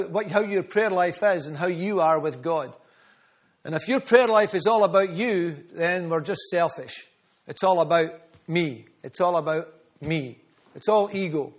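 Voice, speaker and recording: High-voiced preacher. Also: male voice. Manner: earnest, storytelling, repeats phrases for emphasis. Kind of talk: sermon.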